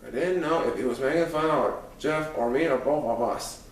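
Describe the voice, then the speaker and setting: A man's voice: mid-range voice, moderate pace. A man reading a first-person story aloud as a scripted narration. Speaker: audiobook narrator. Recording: echoey room, far from microphone.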